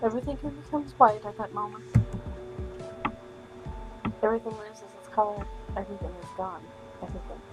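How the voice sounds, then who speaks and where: A woman streaming a visual novel, reading the text aloud with halting delivery and casual commentary. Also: mid-range voice, poor audio. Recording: music playing behind the voice. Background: music.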